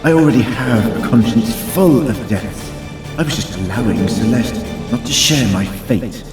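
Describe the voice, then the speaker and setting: A man's voice: mid-range voice, close to an everyday voice. Old, authoritative male time-traveller for audio drama, acted by a man; dry wit, music behind, echoey room. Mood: stern, righteous. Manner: wry, measured, authoritative. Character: very old male time-traveller hero, wry, righteous, commanding. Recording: roomy, distant, with music behind.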